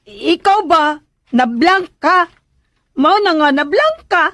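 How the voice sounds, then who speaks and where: An actress playing a cheeky, pleading young caretaker in a radio drama, blurting things out in hesitant, chatty back-talk. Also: female voice, very high voice, lively intonation, slow, a bit bright, no room sound, exaggerated voice. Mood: flustered defensive.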